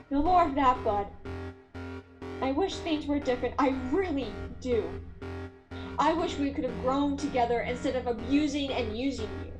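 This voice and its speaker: A high, slow-spoken actress as a female scientist in a radio drama, worn down by guilt. She sounds resigned yet tender, with music playing behind her. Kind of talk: radio play acting.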